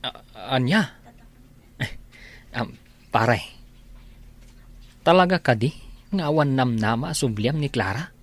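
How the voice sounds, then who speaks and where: A man's voice: mid-range voice, expressive, slow, long pauses, no room sound. Actor as a caring, frank Ilocano male friend. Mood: chiding, concerned. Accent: Filipino, Ilocano. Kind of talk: Ilocano audio drama acting.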